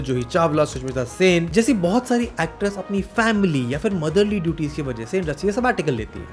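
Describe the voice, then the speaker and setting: Guy reading out a video essay, switches hindi and english, calm explainer tone, music in the back. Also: high voice. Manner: steady, explanatory delivery. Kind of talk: scripted narration mixing Hindi and English.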